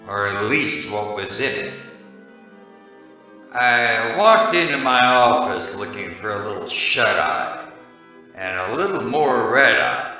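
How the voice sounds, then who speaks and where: A man playing a weary, wisecracking, hard-drinking noir private eye in a radio drama, with a deadpan hardboiled delivery. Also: fairly low pitch, full character voice. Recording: roomy echo, music behind.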